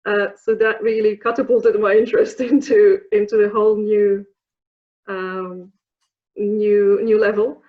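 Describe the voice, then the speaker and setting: A woman's voice: medium pitch, flat delivery, a little slow. Hesitant Polish woman on a dull call. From Poland. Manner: hesitant, many fillers and restarts. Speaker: interview guest, program alumna. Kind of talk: reflective interview answers.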